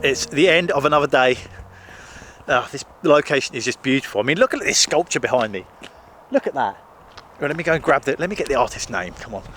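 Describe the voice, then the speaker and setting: A British man with a hoarse, fading voice, chatting enthusiastically to a handheld camera as he walks through a garden. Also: medium pitch, expressive, fast, fairly bright tone, soft background music. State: hoarse, losing his voice. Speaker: vlogger and TV presenter. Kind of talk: casual walk-and-talk vlog. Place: outdoors in a large garden.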